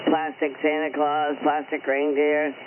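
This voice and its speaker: A man's voice: fairly high pitch, monotone, unhurried. A man chatting casually over a CB sideband radio. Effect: CB single-sideband radio. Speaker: CB radio club member. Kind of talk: casual chat. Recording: tinny CB radio, loud hiss.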